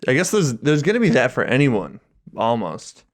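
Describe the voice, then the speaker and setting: Male co-host, middle-pitched, for off-the-cuff joking podcast chat in loose, sweary slang. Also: huge pitch swings, moderate pace. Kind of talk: casual comedic chat.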